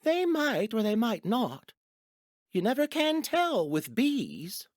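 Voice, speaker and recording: Woman as gentle storybook bear, naive, earnest musing, high voice, dry close sound, children's audio drama. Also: huge pitch swings, no echo, heavily acted. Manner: slow-witted, musing, earnest.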